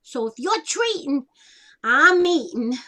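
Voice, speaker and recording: A woman's voice: high voice, big pitch swings, slow pace, bright, forceful tone, full character voice. An actress playing a chatty, self-deprecating older Italian-American woman in a stage comedy, with a folksy, wisecracking way of talking.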